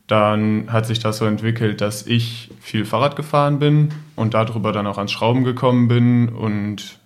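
A man in his twenties chatting casually as a podcast guest. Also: medium pitch. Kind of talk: casual chat.

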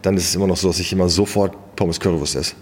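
A man's voice: deep voice, fast, slight background noise. A middle-aged man with a Ruhr German accent, telling colloquial anecdotes casually as an interview guest. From Ruhr area, western Germany. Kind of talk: casual storytelling in conversation. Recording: long hall reverb.

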